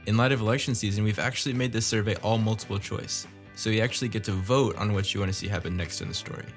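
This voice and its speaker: Dude reading podcast plugs and credits, lowish voice, little jokes, music bed underneath. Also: male voice, quick pace. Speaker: podcast co-host, writer and producer. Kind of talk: scripted podcast announcements with light jokes.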